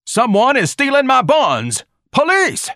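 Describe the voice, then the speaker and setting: A man's voice: mid-range voice, big pitch swings, normal speed, fairly bright tone, no room sound, studio quality, voice pushed up. A man voicing a pompous, easily outraged hot dog vendor with haughty, ornate diction, in an audiobook story. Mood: panicked shrieking.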